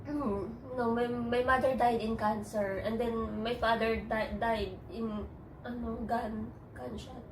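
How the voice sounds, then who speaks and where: A Filipino woman with a Tagalog-native accent, speaking English in a halting, hesitant way with many restarts in a personal interview. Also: on the high side, fairly even intonation, background noise. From Philippines. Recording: echoey room, distant mic.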